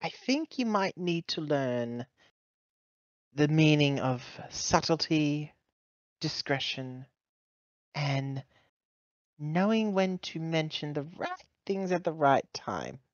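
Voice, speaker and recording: A woman acts out a sardonic female executive, slow and pointed, scolding with weary exasperation. The game audio is close, dry and a little dull. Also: deep voice, animated, dry recording. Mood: sardonic, exasperated.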